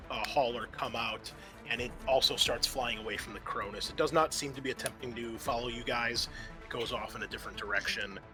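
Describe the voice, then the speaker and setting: A man hosting as game master, narrating a tabletop roleplaying game in a casual, often profane manner. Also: on the low side.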